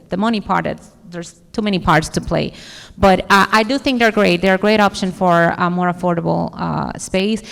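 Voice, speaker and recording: A woman's voice: fairly low pitch, vocal fry, clean tone. Dominican lady answering q&a at a conference, earnest, hunts for words, big echoey hall. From Dominican Republic. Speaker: conference speaker. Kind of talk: audience Q&A answer.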